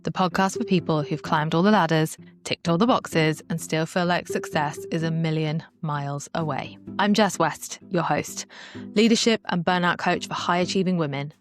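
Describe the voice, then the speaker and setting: A woman's voice: low voice, normal speed, music in the background. A woman hosting a podcast, reading a polished, enthusiastic introduction and then welcoming her guest. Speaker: podcast host, leadership coach.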